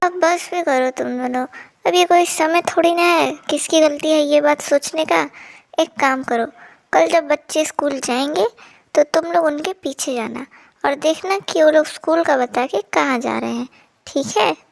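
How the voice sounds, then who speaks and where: A woman playing a sensible village woman who reasons with and advises her friends in an animated story. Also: high-pitched, measured pace, vocal fry. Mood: firm, sensible. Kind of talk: animated moral story dialogue.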